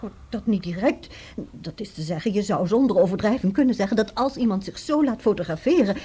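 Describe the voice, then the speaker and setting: Flustered Dutch wife played by a woman, soft-edged, breathy. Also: mid-range voice, natural voice. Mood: flustered, prim. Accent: Netherlandic Dutch. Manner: halting, flustered, restarts sentences. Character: upset, indignant betrayed wife seeking divorce. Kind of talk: radio comedy acting.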